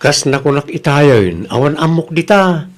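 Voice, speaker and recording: A man's voice: on the high side, animated, normal speed, close to an everyday voice. A man playing a defensive, dismissive young husband in a family radio drama. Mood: dismissive, put-upon. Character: defensive young husband caught between wife and mother. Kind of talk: Ilocano radio drama acting.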